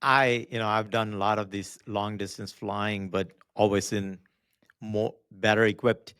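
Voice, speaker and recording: A man's voice: on the low side, flat delivery, a little slow, a bit bright, smooth, no echo, studio quality. A man hosting a conversational interview, asking questions and sharing his own view as a pilot. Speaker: host and pilot.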